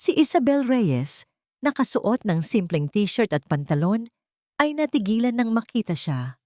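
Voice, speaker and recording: A woman's voice: on the high side, sing-song, a little slow, darkish tone, dry recording, studio quality. A woman with a Filipino accent reading a dramatic scripted story as a narrator.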